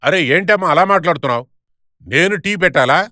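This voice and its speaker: A man voicing a demanding, tradition-bound middle-aged father-in-law in an animated moral story, with a scolding manner. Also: a little high, fast talker, dry recording, clean studio sound. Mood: indignant. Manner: bossy, scolding.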